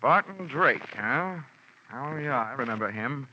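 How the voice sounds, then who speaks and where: An actor playing a cynical, quippy insurance investigator in a 1940s radio detective drama. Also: male voice, mid-range voice, animated, unhurried, bright, forceful tone, slight background noise. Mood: wry, needling. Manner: sardonic, quippy. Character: wisecracking, cynical freelance male insurance investigator. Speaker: radio drama lead and narrator. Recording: muffled old radio broadcast, music behind.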